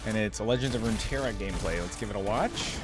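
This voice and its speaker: A man's voice: on the low side, fast. A man giving casual, slangy, enthusiastic reaction commentary as a video host. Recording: music playing behind the voice. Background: game theme music.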